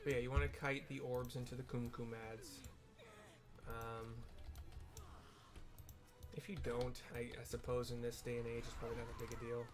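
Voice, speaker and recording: Man, medium pitch, casual gameplay commentary, explanatory, rambling, clear background music. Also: level tone, normal speed, pauses often. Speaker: video game streamer and narrator.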